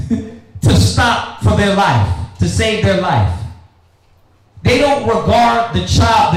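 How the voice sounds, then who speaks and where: High-pitched, animated American male preacher, echoey room. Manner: street-talking, confrontational, peppered with rhetorical questions. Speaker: preacher and teacher leading a scripture class. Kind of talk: fiery teaching sermon with call and response. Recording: very echoey room, faint noise.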